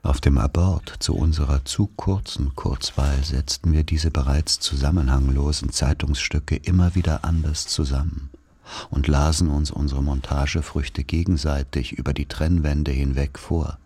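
A man playing a wry narrator who recalls his boarding-school boyhood, in measured literary radio play narration. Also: a bass voice, fairly even intonation, mellow, husky. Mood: wry, deliberate.

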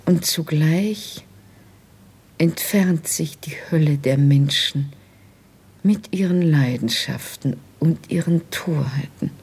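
An actress playing a tender, resigned wife facing arrest in a radio drama, hushed and breathy, speaking solemnly and intimately. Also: female voice, low-pitched, slow pace, exaggerated voice. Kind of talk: literary radio drama acting.

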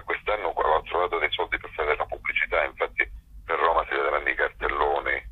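A man's voice: very deep voice, little pitch movement, brisk, breathy edge, narrow, tinny audio. A man talking casually and enthusiastically in an interview, in a rambling, colloquial way, as a festival director.

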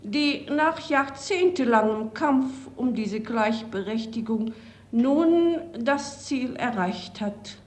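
A woman's voice: a little high, expressive. An actress playing a matter-of-fact woman jurist and mother, giving a 1940s radio address to women listeners. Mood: earnest. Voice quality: slow, deliberate, matter-of-fact.

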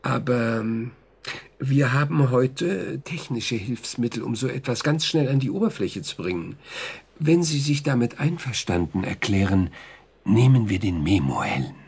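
An actor in a German radio drama playing a composed, methodical psychotherapist who is reassuring, probing and clinical. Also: male voice, medium pitch, lively intonation, creaky, a little mellow, breathy edge, full character voice. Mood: explaining. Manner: measured, clinical, deliberate.

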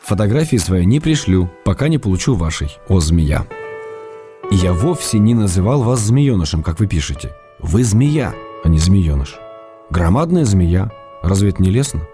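An affectionate writer teasing his beloved in letters, his voice deep and mellow. He speaks unhurriedly, with gentle irony and warmth. Faint music plays behind him. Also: very animated. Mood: ironic, tender. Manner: tender, gently ironic, unhurried. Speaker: reader of letters in a radio play. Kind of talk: radio drama letter reading.

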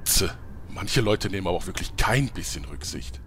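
A man's voice: low-pitched, lively intonation, moderate pace, fairly bright tone, slight background noise. A man playing an oblivious, self-important eccentric gamer in a comedy audio play, with a pompous, theatrical delivery. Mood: huffy self-importance.

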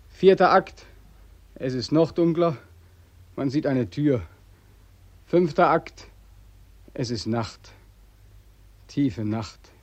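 An actor playing a bitter, exhausted young man back from war in a radio drama, anguished and rhetorical, with a breathy, strained voice. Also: mid-range voice, darkish tone, no room sound. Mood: bleak, weary. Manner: anguished, rhetorical, repeating himself. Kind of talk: German radio drama acting.

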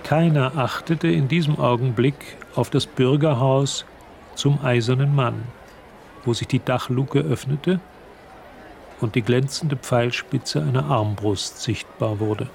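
A man's voice: mid-range voice, fairly even intonation, unhurried, creaky, darkish tone, slight background noise. A man narrating a radio drama in a scripted literary style. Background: music.

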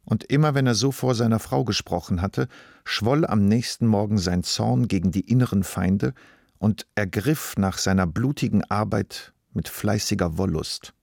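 A man reading literary narration for a radio play in a measured, deliberate way. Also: lowish voice, creaky, a little mellow, dry recording, studio quality.

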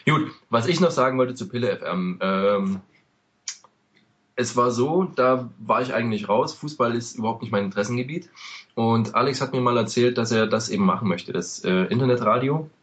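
A man's voice: mid-range voice, normal speed, fairly bright tone. A man from eastern Germany co-hosting a casual podcast, explaining at length with many fillers. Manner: chatty, many "ähm" fillers, loose rambling explanations. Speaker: podcast co-host. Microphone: home microphone over a call.

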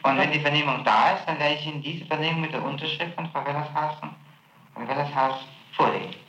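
A man questioning a suspect as prosecutor. His talk is stiff and formal, full of hesitations and "isn't it?" tags. The old tape is muffled and echoey, with a loud hiss underneath. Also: fairly high pitch. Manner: formal, halting, many fillers and tag questions. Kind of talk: archival interrogation tape.

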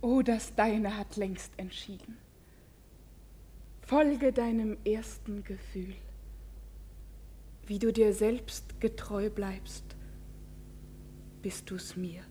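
A tender young noblewoman in love in an old radio play. She speaks very slowly, in a soft, dark, breathy hush with quiet resolve. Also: mid-range voice, fairly even intonation. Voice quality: breathy, hushed. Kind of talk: 1950s German radio verse drama acting.

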